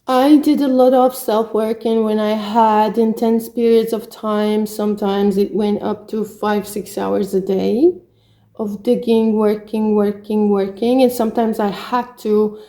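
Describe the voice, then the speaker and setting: A woman's voice: mid-range voice, warm tone. A middle-aged woman with a Hungarian accent, telling her own story as a spiritual coach in earnest short phrases. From Romania. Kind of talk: personal story monologue.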